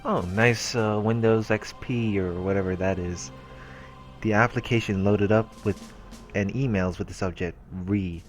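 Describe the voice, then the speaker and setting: A man with a low-pitched voice hosts a let's play, reading story text aloud and adding casual remarks. He sometimes trips over and repeats words, with game music behind him. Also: steady tone, unhurried.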